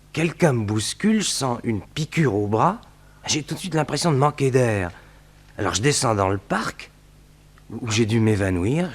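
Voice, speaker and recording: A man's voice: medium pitch, close to an everyday voice. An actor playing a guileless, chatty young man who rambles naively, in a 1960s French radio serial.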